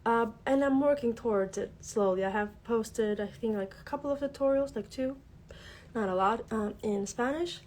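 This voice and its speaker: A woman's voice: fairly high pitch, normal speed, darkish tone, slight background noise. A woman with a cold, sounding congested, hosting a casual craft livestream from her desk, chatty and full of fillers as she greets viewers. Her first language is Albanian. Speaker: craft tutorial host.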